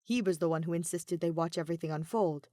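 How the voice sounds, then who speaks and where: A woman's voice: lowish voice, fast, dark tone, no echo, studio quality. A woman reading scripted fiction as an audiobook narrator in a dramatic style.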